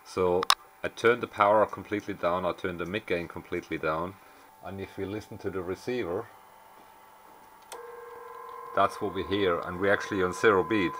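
Clear background noise sits under a man's very low voice, caught on a camera mic in a small workshop. He gives an unscripted technical walkthrough as a repairer. His manner is matter-of-fact, working things out aloud as he goes. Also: steady tone, moderate pace, long pauses. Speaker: amateur radio repairer presenting. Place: small indoor workshop at an electronics bench.

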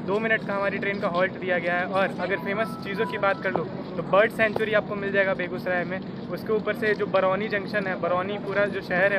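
A young man with a high, fairly flat voice talks into a phone held at arm's length, explaining stations and halts in an informative travel-vlog manner. Loud train and platform noise sits under his voice. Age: young adult. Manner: informative, rattles off station facts and numbers. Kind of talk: casual travel vlog narration. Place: outdoors on railway platforms and at the train door. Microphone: phone held at arm's length, selfie framing.

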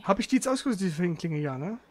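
A man's voice: sits high, animated, rapid-fire. A man doing casual gaming commentary, thinking aloud in a slangy, grumbling way. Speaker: let's player. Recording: clear background noise.

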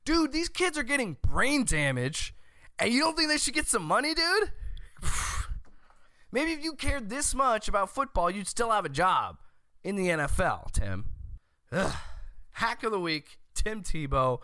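An American man in his thirties giving loud, emphatic, opinionated sports commentary as a show host, close on a studio mic. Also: sits high, very animated, unhurried, no room sound. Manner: loud, emphatic, exasperated ranting. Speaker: host of a college football show. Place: small room at home. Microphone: close studio mic on a boom arm.

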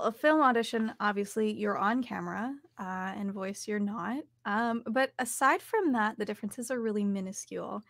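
A woman's voice: medium pitch, creaky, a bit bright, no echo, clean studio sound. A young adult woman, talking casually and reflectively as an interview guest, thinking aloud as she goes. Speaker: interview guest, audiobook narrator and voice actor. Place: home studio, indoors.

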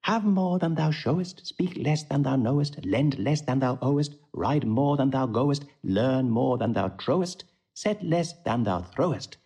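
An actor playing an impudent, riddling court jester in a Shakespearean audio drama, with a playful, mocking delivery. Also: male voice, medium pitch, expressive, a little slow, hardly any pauses, dark tone, breathy edge. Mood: sing-song. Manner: mocking, sing-song, playful.

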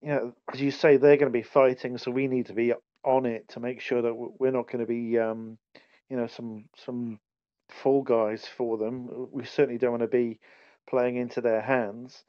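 A man with a flat, even delivery and a smooth, clear voice gives his view as a podcast guest, pausing on "um"s. His shows, and his audio sounds dry and a little dull. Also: medium pitch, no echo. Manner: measured, level, filled with "um"s. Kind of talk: casual football podcast chat.

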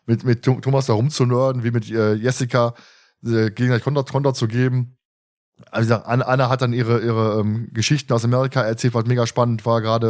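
Chatty male podcaster, rambling and repetitive. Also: medium pitch. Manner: rambling, repeats words and phrases. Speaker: podcast host. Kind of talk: casual fan podcast chat.

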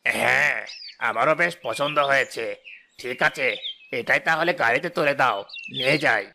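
Man voicing a pompous, sharp-voiced village headman. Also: medium pitch, moderate pace, vocal fry, slight background noise. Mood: self-satisfied. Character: boastful, self-important village headman, showy and greedy. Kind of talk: Bengali cartoon dubbing.

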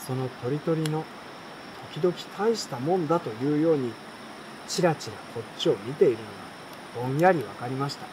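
A young adult man reading a bedtime story aloud in a relaxed, unhurried way, with pauses between short phrases. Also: a little high, background noise. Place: outdoors at night beside a campfire. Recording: campfire, insects and stream behind voice.

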